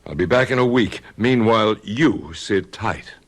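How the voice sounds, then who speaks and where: A calm, inquisitive doctor in an old radio mystery drama. His speech is measured and reassuring, with a pitch that rises and falls in lively swings. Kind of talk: 1970s radio drama acting.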